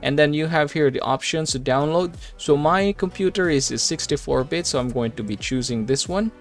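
A man hosting a software tutorial, explaining things step by step in a casual way. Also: on the high side, moderate pace. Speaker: tutorial host. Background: background music.

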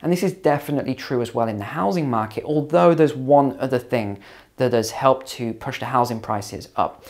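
A man in his thirties, a little hoarse and raspy, explaining finance points to camera in an earnest, emphatic way on a lapel mic at home. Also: mid-range voice, moderate pace, a little mellow. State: slightly hoarse from hours of calls. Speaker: finance commentator. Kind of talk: direct-to-camera talk. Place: indoor home hallway.

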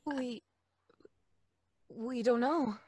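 Jittery, very high-voiced young woman. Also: very slow, darkish tone, slightly rough, dry recording, clean studio sound, exaggerated voice. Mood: nervous. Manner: agitated, defiant. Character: jittery, defensive young woman, bold but frightened. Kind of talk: old-time radio acting.

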